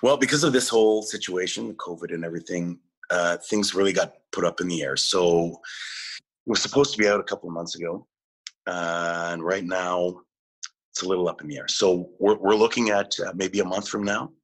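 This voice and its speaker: A male musician answering interview questions, halting often with fillers and restarts. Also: deep voice, fairly even intonation. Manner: hesitant, many fillers and restarts. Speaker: musician guest.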